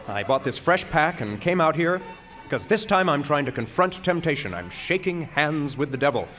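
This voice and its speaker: An actor playing a pompous, self-dramatizing retired philosophy professor in a stage comedy, speaking in wordy, self-mocking speeches. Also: male voice, a little high, big pitch swings, normal speed, fairly bright tone, faint music behind. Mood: grandiose, self-mocking. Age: older. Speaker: actor in an audio play.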